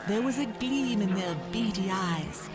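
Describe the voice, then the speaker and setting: A woman reading scripted storybook narration in a literary, expressive storytelling manner. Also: mid-range voice, measured pace. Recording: music underneath.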